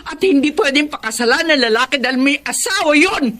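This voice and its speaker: A passionate, stern middle-aged mother with a high voice that swings widely. She is emphatic and confrontational in the full dramatic manner of radio soap. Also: animated, fairly quick, a bit bright. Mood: angry, accusing. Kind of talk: Tagalog radio drama acting.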